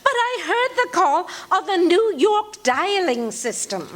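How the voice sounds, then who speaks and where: A woman's voice: very high-pitched, big pitch swings, unhurried, a bit bright, exaggerated voice. A woman acting a frightened bedridden wife in a live radio play, stammering and sobbing. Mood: anxious. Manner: frantic, stammering, breaks into sobs. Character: frightened bedridden wife, middle-aged, anxious and demanding.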